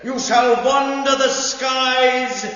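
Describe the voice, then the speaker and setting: An actor playing a stern elder who declaims judgment gravely and ceremonially in an audio drama. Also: male voice, very high voice, steady tone, very slow, a bit bright, full character voice. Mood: stern, condemning. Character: stern male elder pronouncing solemn judgment. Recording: cavernous echoing hall, distant, dull.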